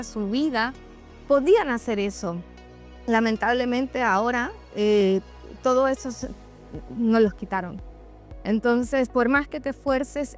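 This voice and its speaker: A woman shares a reflective personal monologue, speaking candidly and straight to her listeners. Her voice sits a little high, and music plays faintly behind her. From Venezuela. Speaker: host of a personal podcast or vlog. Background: background music.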